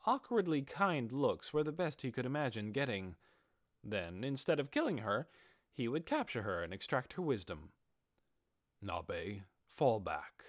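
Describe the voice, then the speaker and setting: A man's voice: medium pitch, no echo, studio quality. A man narrating a fantasy audiobook in a dramatic, scripted style.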